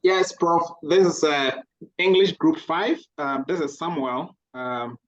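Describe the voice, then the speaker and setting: Slow man on a dull call. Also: fairly high pitch, no echo. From Ghana. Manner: polite, halting, many fillers. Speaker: group presenter. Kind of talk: online workshop presentation. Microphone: video call.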